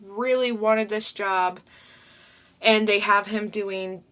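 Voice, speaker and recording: Slow-talking bright young woman co-host. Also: mid-range voice, steady tone. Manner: unhurried, chatty, summarizing plots. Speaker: podcast co-host. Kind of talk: casual comic review chat.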